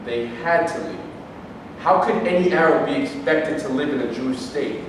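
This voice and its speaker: A man's voice: a little high, moderate pace, lightly acted. Man acting an indignant debater, distant and echoey. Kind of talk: staged reading of scripted dialogue. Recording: distant mic, echoey hall, noisy.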